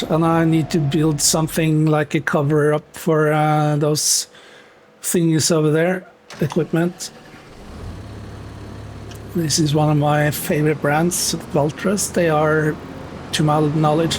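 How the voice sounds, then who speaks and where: A young man with a Norwegian accent chats to his stream at an unhurried pace, full of "uh"s and "um"s. His voice sits a little higher than most men's. Faint noise hums behind him. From Norway. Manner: relaxed, chatty, many fillers, answers chat. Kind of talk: casual chat while gaming.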